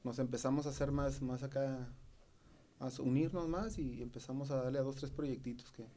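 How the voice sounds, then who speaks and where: Middle-aged man with a Mexican accent, mid-pitched, slangy, rambling guest. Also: steady tone, mellow. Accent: Mexican Spanish. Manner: slangy, halting with many fillers. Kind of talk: casual podcast chat.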